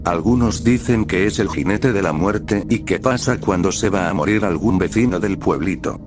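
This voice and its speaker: Low-voiced man narrating over music. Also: moderate pace. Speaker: narrator of a horror stories channel. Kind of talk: scripted narration of first-person scary stories.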